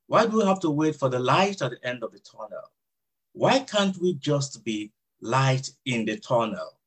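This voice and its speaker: A man with a Nigerian accent speaks in a measured, thoughtful way as a hospital chaplain in an interview. He pauses often with small fillers while he explains, and his voice sits at an ordinary middle pitch. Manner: reflective, measured, frequent "uh" fillers. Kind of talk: interview answers.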